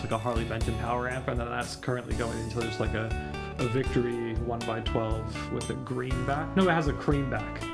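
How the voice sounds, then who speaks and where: Male streamer, mid-range pitch, casual, rambling, thinking aloud, music playing behind. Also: gravelly. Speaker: streamer reading visual novels. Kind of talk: casual livestream chat.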